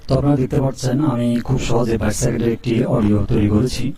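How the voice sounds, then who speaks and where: Male tutorial host, quick monotone delivery with step-by-step instructions. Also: medium pitch, roomy sound, far from the mic. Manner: steady instructional, frequent "ঠিক আছে?" check-ins. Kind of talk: screen-recorded software tutorial.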